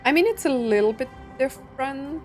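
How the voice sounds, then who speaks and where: A woman's voice: sits high, moderate pace. A woman doing casual let's play commentary, slangy and puzzled as she thinks aloud. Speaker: streamer reacting to a game story. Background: faint game music and dialogue.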